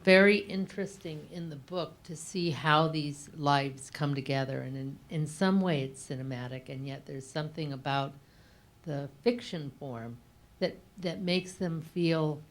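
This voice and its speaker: A woman in the audience at a book talk asks a reflective question, searching tentatively for her words. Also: low voice. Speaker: audience member asking a question.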